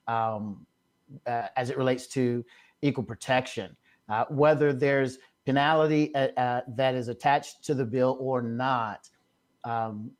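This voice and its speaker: An older man arguing in a live debate, starting sentences haltingly with many stammers. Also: medium pitch. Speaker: debate guest.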